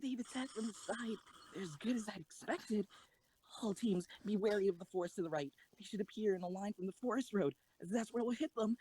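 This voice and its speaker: A woman's voice: medium pitch, a little fast, warm tone, a little hiss or hum, lightly acted. A woman playing an earnest, careful schoolgirl tank tactician in a comic audio drama. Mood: urgent.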